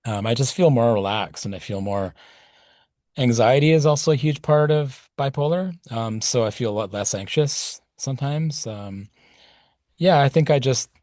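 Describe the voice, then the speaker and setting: A man's voice: mid-range voice, moderate pace, darkish tone, no room sound, studio quality. A middle-aged man speaking as a podcast guest, reflective and rambling with plenty of fillers. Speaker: podcast guest, punk musician. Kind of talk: casual interview talk.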